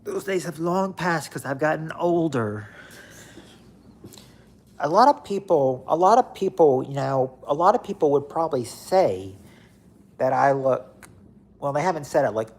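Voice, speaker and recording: A man's voice: medium pitch, lively intonation, moderate pace, darkish tone, husky. Late-30s guy on a chill livestream, rambly, lots of "you know" and "i mean". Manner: rambling, repeats himself with many fillers. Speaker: livestream host. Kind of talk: casual chat reading viewer comments.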